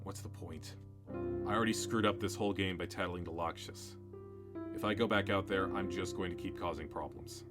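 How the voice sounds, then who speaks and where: A man voicing a dejected young male camp owner who mumbles low and defeated. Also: level tone, a little fast, loud music behind the voice, close to an everyday voice. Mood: hopeless.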